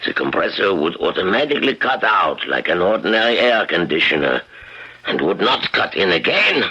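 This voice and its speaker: An actor playing a confident, precise doctor-scientist in a measured, lecturing radio drama style. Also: male voice, low voice, big pitch swings, pushed and bright, husky, heavily acted. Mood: explanatory. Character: confident, precise male doctor-scientist explaining his machine. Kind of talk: old-time radio drama acting. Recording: dull, noisy 1970s radio recording.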